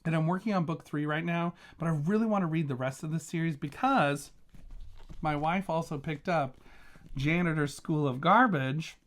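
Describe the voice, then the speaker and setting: A man's voice: a little high, moderate pace, fairly bright tone. A man talking casually as a vlogging reviewer, gushing with emphatic, enthusiastic delivery. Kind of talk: casual chatty book review vlog.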